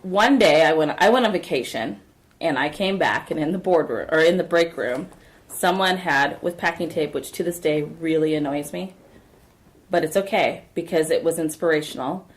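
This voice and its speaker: A woman's voice: deep voice, normal speed. A woman co-hosting a casual podcast, chatty and telling a story about her workplace. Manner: chatty storyteller, animated in quotes. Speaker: podcast co-host.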